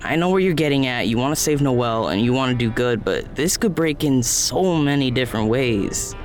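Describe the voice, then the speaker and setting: A man acting as a concerned, cautious friend in a naturalistic audio drama. His mid-pitched voice is plain-spoken and measured, with faint music underneath. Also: animated, lightly acted. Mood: worried. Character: worried, cautioning male friend, morally serious.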